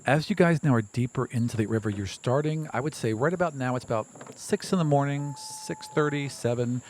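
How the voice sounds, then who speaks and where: A man's voice: medium pitch, fairly quick, darkish tone, slight background noise. A man narrating as game master of a tabletop game, in a loose, storytelling way full of casual asides.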